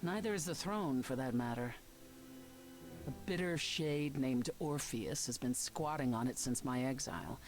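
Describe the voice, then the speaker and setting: An actress voicing a proud, dry exiled queen of the underworld in a video game, measured and haughty. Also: a bass voice. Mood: cool, commanding. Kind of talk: dramatic video game voice acting.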